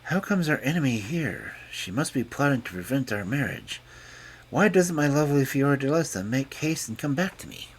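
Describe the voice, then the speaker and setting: Narrator doing a hot-headed young king, raised voice, lively and loud. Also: mid-range voice, moderate pace, a little hiss or hum, pitched above the performer's own voice, close to an everyday voice, low-quality recording. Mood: puzzled. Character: young king, passionate and stubborn.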